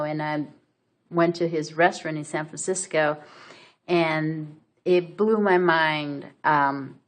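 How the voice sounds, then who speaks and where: A woman from Brazil with a Brazilian Portuguese accent, telling her own story to camera in a hesitant way, full of fillers and restarts. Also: low-pitched. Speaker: vlogger. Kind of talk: casual personal story to camera.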